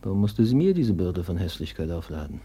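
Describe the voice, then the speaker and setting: An actor playing a scheming, envious younger son in a classical radio play, theatrical and declamatory, with an oily show of devotion. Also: male voice, sits low, big pitch swings, brisk, mellow, slightly rough, full character voice. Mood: cold, bitter scheming. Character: scheming, envious younger son, falsely pious, coldly ambitious.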